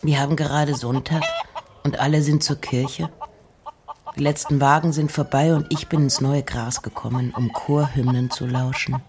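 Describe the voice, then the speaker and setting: A woman giving an intimate, measured dramatic reading of poems and letters, at times dropping close to a whisper. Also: a bass voice, fairly even intonation, noisy background. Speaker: reciter.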